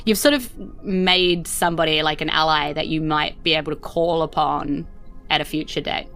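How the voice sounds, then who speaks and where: A woman's voice: sits low, fairly even intonation, moderate pace, vocal fry, fairly bright tone, faint music behind. A woman with a New Zealand accent narrating a tabletop game as game master in a loose, conversational way. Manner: loose, conversational, hedging with "like" and "sort of".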